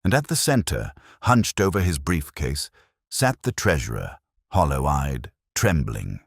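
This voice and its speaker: A man's voice: rumbling low, sing-song, unhurried, creaky, slightly rough, no room sound, studio quality. A man reading scripted, dramatic narration of a detective story.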